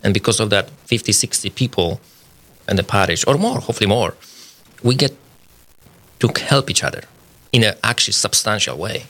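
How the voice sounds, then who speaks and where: A man's voice: lowish voice, animated, a little fast, pauses often, fairly bright tone. A man in his forties with a Polish accent, speaking English as a second language as an earnest radio guest who searches for words. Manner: earnest, searching for words, non-native phrasing. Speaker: guest, scientist. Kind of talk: radio studio conversation. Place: radio studio.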